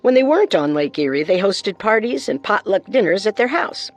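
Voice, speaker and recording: A woman's voice: medium pitch, big pitch swings, fairly quick, no room sound. A woman co-hosting a true-crime show with scripted narration. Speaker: co-host, narrator.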